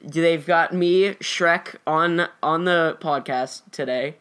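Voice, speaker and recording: Casual young American man, slightly high voice. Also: takes it slowly, fairly bright tone, clean tone. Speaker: guest stage actor on a podcast. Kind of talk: casual chat.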